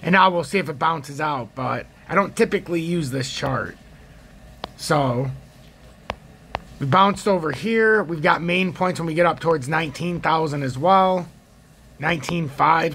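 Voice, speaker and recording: An American man with a gravelly, husky voice giving casual, rambling, opinionated chart commentary as a trading video host. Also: on the high side, bright, forceful tone, a little hiss or hum.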